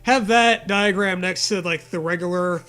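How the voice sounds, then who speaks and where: A man's voice: high-pitched, normal speed, pushed and bright, smooth. A man co-hosting a comedy read-along, riffing casually with crude, sarcastic jokes. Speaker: co-host reacting to a read-aloud story.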